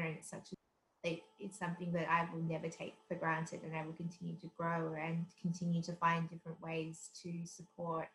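A woman's voice: fairly low pitch, creaky, fairly bright tone, reverberant, poor audio. A woman in her twenties, raised in South Africa, with a light Australian accent, telling stories animatedly as an interview guest and laughing often. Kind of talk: casual interview chat.

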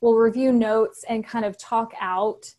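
A soft-spoken woman in her thirties with a higher voice, a lawyer chatting as an interview guest with lots of fillers. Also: fairly even intonation, measured pace. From Texas. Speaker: business attorney guest. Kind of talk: casual interview talk.

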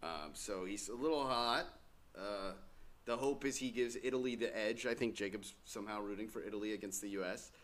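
A young man co-hosting a casual sports-betting podcast, relaxed with dry teasing. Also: lowish voice, brisk. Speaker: podcast co-host.

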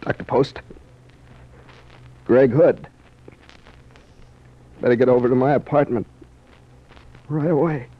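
Male actor's wry amateur detective, mid-pitched, brisk, defiant, 1940s radio acting, muffled old recording. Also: fairly even intonation, a little hiss or hum, close to an everyday voice. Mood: weary, pointed. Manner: sardonic, brisk, self-assured.

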